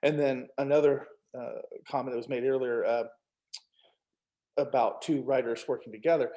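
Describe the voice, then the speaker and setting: A man's voice: medium pitch, steady tone, normal speed, warm tone. A man talking casually as an author, telling anecdotes and acting out bits of quoted dialogue. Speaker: author, co-writer of a novel. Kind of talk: casual panel conversation.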